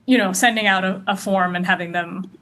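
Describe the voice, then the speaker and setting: A woman's voice: medium pitch, fairly even intonation, brisk, bright, forceful tone. A woman researcher answering interview questions earnestly, with many likes and restarts. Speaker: AI safety researcher guest.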